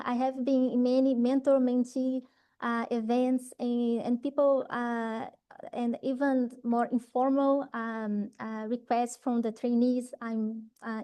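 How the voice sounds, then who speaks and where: A woman's voice: darkish tone, smooth. A woman from Brazil with a Brazilian Portuguese accent, speaking English as a second language, gives an informal talk to trainees in a hesitant way with many fillers, restarts and a frequent "right?". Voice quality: low voice.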